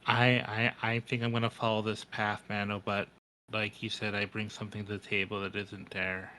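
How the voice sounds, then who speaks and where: A man playing a tabletop game, talking casually and haltingly, repeating words as he thinks aloud. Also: lowish voice, little pitch movement, pushed and bright, a little hiss or hum, rough recording. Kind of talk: casual roleplay and table chat.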